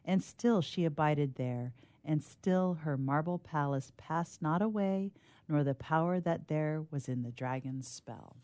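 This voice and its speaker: A woman's voice: sits low, unhurried, mellow, dry recording. A woman telling stories on a radio show, both reading aloud and chatting in a friendly way. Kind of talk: storybook reading and friendly chat.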